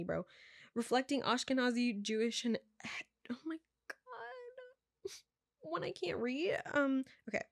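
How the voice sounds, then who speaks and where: A woman's voice: on the high side, dry recording, studio quality. A young woman vlogger reading her results aloud in casual chat, stumbling over words and adding offhand asides.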